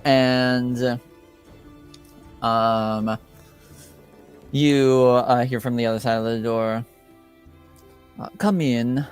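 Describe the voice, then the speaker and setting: A man improvising narration as game master of a tabletop roleplaying game, speaking in a halting, deliberate way. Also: mid-range voice, flat delivery, smooth, soft background music.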